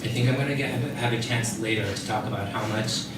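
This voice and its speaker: A man from New York gives an informal welcome speech as the head of an organization. He sounds distant in a very reverberant studio, over clear background noise. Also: medium pitch, quick pace. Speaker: nonprofit chief executive, welcome speaker. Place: indoor dance studio, before an audience. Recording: echoey dance studio, distant, noisy.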